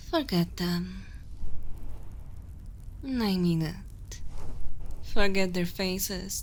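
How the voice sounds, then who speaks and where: A woman playing a dominant, possessive yet motherly evil queen in an ASMR roleplay, speaking softly and intimately close to the microphone, often in a breathy whisper. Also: mid-range voice, slight background noise. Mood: possessive, protective. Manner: slow, deliberate, commanding, intimate.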